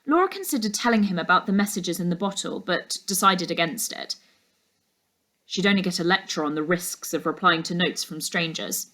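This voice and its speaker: A woman's voice: on the low side, lively intonation, normal speed, sharp tone. Woman reading a children's story aloud. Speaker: teacher reading a class book aloud. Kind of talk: expressive children's storybook narration.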